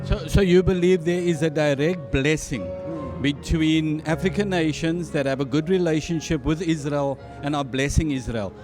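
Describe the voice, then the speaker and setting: A man in his forties hosting a show and interviewing a guest at a dinner event, measured and formal. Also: on the high side. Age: 40s. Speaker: host. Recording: music playing behind.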